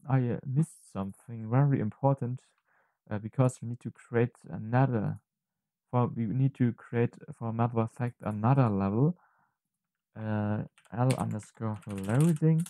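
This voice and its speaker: A man narrating an unscripted software tutorial, thinking aloud haltingly and correcting himself as he goes. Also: medium pitch. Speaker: tutorial presenter.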